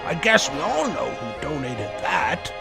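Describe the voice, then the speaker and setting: A man's voice: mid-range voice, sing-song, a little slow, creaky, over music. A man voicing a jolly, mocking male rival who makes teasing speeches in a cartoon comic dub. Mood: mock formal. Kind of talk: comic book fan dub.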